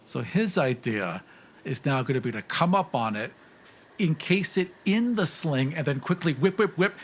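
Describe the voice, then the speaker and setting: A man's voice: on the high side, lively intonation, moderate pace, a little hiss or hum. A man playing a tabletop game, casually improvising his descriptions as he thinks aloud.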